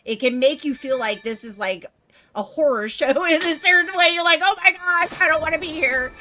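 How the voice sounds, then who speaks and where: A woman's voice: high-pitched, normal speed, sharp tone, slight background noise. A young adult woman from the United States hosting a stream, chatting casually and giggling, with many "like" and "you know" fillers. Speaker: stream host. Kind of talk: casual chat with a guest.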